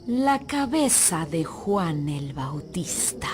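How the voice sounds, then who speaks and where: Sinister, whispering low-voiced queen. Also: big pitch swings, takes it slowly, heavily acted. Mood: coldly vengeful. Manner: hushed, menacing whisper. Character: sinister, vengeful queen mother. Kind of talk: dramatized audio Bible acting.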